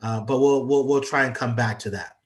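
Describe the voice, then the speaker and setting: A man's voice: medium pitch, steady tone, moderate pace. A congested man gives an online presentation as a guest speaker, talking hesitantly with many fillers. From United States. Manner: hesitant, lots of ums and uhs. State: congested from allergies. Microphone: video call.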